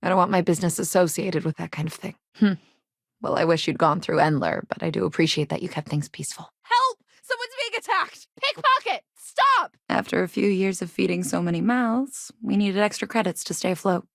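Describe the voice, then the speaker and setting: An actress voicing a guarded but caring, plain-spoken woman innkeeper from a rough sci-fi district in a video game. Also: lowish voice, fairly even intonation, brisk, dry recording, studio quality. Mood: calm, earnest. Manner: plain-spoken, earnest, civic-minded. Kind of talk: game dialogue lines, conversational.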